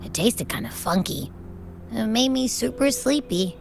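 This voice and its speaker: High, slow-talking childlike little creature. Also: female voice, animated, gravelly, a little mellow, a little hiss or hum. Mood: sleepy, queasy. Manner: hesitant, childlike, informal speech. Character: small innocent hungry creature companion, childlike and apologetic. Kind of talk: video game voice acting.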